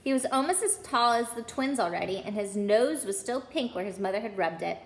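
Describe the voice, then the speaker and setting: Animated, higher-pitched woman reading a storybook. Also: a little fast. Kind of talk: expressive storybook read-aloud.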